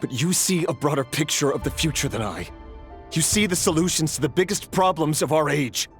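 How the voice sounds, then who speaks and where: A man's voice: on the high side, animated, fairly quick, faint music behind. An actor playing an anguished, devoted young man in a fantasy video game, speaking earnestly and intensely in formal phrases. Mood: pleading. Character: anguished, devoted young male fantasy hero, desperate and defiant. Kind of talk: dramatic video game acting and narration.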